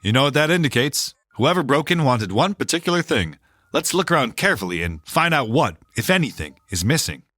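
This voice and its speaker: A man's voice: medium pitch, very animated, brisk, sharp tone. An actor playing an earnest, heroic male space captain in a comedy audio drama.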